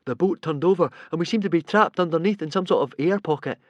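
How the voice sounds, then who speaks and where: A shy, clumsy Viking boy who mumbles his words, voiced by a man. His voice sits high and has a strange timbre, set apart from the narration. The recording is dry and close. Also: fast talker, dry recording, natural voice. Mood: explaining. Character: shy awkward boy with berserk streak.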